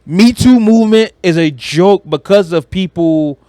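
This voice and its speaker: Higher-pitched man, slangy podcast co-host. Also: unhurried, warm tone, clean tone, no room sound, studio quality. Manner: slangy, profane, opinionated. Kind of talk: casual chat about movies and games.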